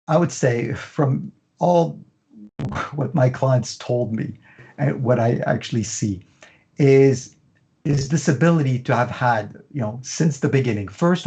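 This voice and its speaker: A man's voice: mid-range voice, a little mellow. A middle-aged man, a fashion business consultant, explaining his methods in an interview and stringing long lists together with frequent "you know" fillers.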